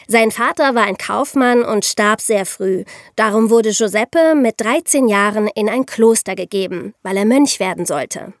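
A woman's voice: on the high side, no room sound, clean studio sound. A woman, co-hosting a podcast and telling history in a conversational storytelling style. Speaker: podcast co-host.

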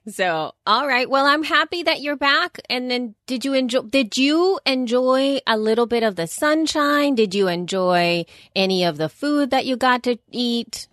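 A woman from El Salvador chatting casually as a podcast co-host, animated and exasperated, her pitch swooping up. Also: unhurried, few pauses, dry recording, clean studio sound. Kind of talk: casual chat.

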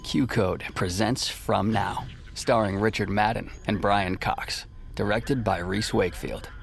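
A man with a gravelly voice announcing a scripted show introduction and credits. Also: on the low side, fairly even intonation, measured pace.

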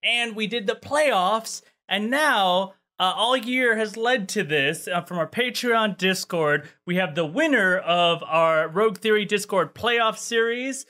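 Guy hosting a chatty show, high bright voice, jokey, rattles off plugs. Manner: playful, laughing, quick plugs. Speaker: show host. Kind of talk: casual group chat, hosting.

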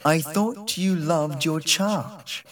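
Audiobook: aloof, derisive male master, read plainly by a man, slow and cool. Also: on the high side, fairly bright tone. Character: aloof, proud male master, cold and mocking.

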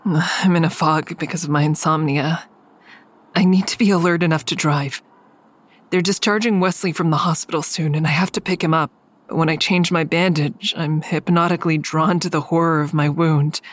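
A woman's voice: deep voice, fairly even intonation, moderate pace. An actress in an audio drama playing an exhausted, defiant woman who tells of her ordeal in a grim, blunt way. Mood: weary, grim. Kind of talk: first-person dramatic narration in audio drama.

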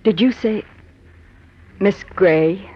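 Female worldly nightclub showgirl in 1940s radio noir, mid-pitched, coy, hesitant, vintage dull sound. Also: drawn-out words, slightly rough. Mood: wary. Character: guarded, worldly young nightclub showgirl on the run. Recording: band-limited 1940s radio with music.

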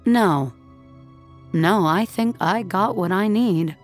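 A woman narrator voicing a cold, menacing man in an audio drama, falsely calm and quietly controlled. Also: medium pitch, takes it slowly, faint music behind, voice pushed up. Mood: coolly polite.

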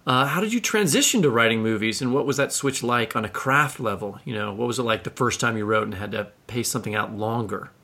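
Bright-voiced man interviewing. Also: mid-range voice, quick pace, gravelly, hardly any pauses. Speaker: podcast host, interviewer. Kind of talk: conversational interviewing.

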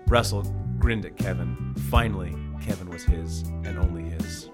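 A man's voice: deep voice, moderate pace, over loud music. A man narrating a story as a dramatic reading.

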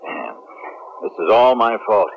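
A man playing a blunt, wry, emotional space captain in a science fiction radio drama, with an expressive, informal delivery. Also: fairly low pitch, unhurried, vocal fry, full character voice. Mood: worried, regretful. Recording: muffled, noisy 1970s radio recording.

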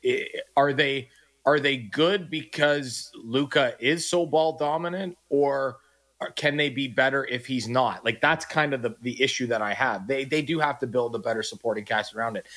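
Man, sports radio co-host, rambling, restarts sentences, mid-pitched. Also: a bit bright. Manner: rambling, restarts sentences, acts out quoted reactions.

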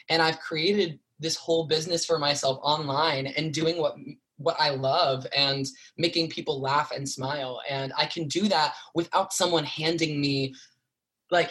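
A man's voice: on the high side, a bit bright. Chatty twenties man, theatre performer, casual interview guest, filler-heavy. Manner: chatty, lots of likes and fillers.

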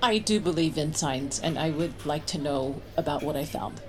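A woman's voice: low voice, animated, normal speed, low-quality recording. An actress playing a guarded, troubled mother in her thirties, hesitant and secretive, in an audio drama. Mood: somber, confiding. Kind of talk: audio drama acting and first-person narration. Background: music.

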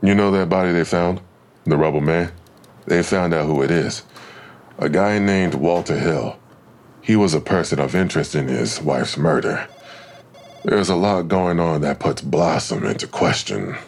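An actor playing a probing, suspicious police detective in an audio drama, with a gravelly voice and a dry delivery. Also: male voice, a bass voice, normal speed. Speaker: actor in a fiction podcast. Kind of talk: naturalistic audio drama acting.

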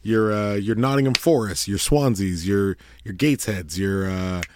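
A man's voice: lowish voice, moderate pace, background noise. A man co-hosting a podcast, teasing and cursing as he riffs in casual banter. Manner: teasing, profane, riffing. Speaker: podcast co-host.

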